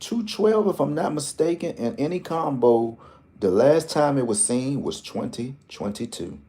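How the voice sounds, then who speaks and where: A man's voice: mid-range voice, expressive, a little slow, dark tone. A man hosting a lottery tips vlog, talking in a casual, joking, rambling way full of slang and asides.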